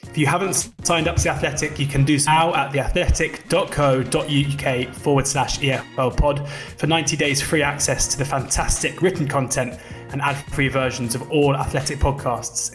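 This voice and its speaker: A man hosts a podcast at an average pitch, reading a brisk intro before easing into light banter. Faint music sits under his voice. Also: a bit bright. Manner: brisk scripted read, playful. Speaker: podcast host.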